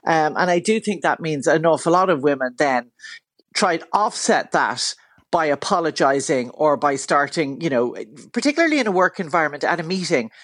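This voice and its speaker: A middle-aged Irish woman with a Dublin accent and a big, loud voice talks as a radio guest. She speaks in a forthright, chatty way and laughs easily, and her voice comes through dry and close with no room echo. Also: sits low, expressive, normal speed. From Ireland. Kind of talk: casual radio chat.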